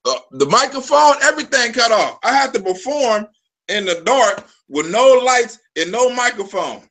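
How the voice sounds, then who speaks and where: A man's voice: very high-pitched, very animated, normal speed, fairly bright tone. A man in his forties hosting a live stream, telling loose, profane stories full of restarts. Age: 40s. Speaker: live show host. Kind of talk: casual live-stream chat.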